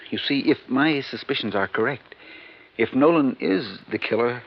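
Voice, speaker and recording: An actor playing an earnest, anxious and polite informant in a 1950s radio drama, speaking formally and insistently. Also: male voice, mid-range voice, expressive, moderate pace, close to an everyday voice. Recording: muffled, noisy old radio recording.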